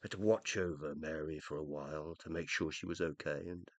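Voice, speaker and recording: An actor in a radio play voicing the uploaded mind of a dead scientist, self-reproaching and loving, with an emotional, hesitant, confessional delivery. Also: male voice, extremely low pitch, a little fast, no echo. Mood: quiet, reflective.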